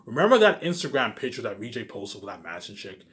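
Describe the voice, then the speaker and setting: Fast-talking, boastful man. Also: medium pitch, big pitch swings, fairly bright tone. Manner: repeats himself, emphatic, asks and answers own questions; boastful, profane. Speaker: vlogger. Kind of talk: rambling casual monologue.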